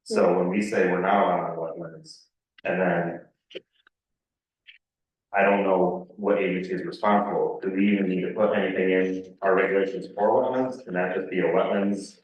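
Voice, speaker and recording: A very echoey, distant recording of an American man with a low voice. He gives plain-spoken, halting public comment as a town resident. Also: steady tone. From Connecticut, United States. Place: indoor public meeting room. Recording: very echoey meeting room, distant muffled mic.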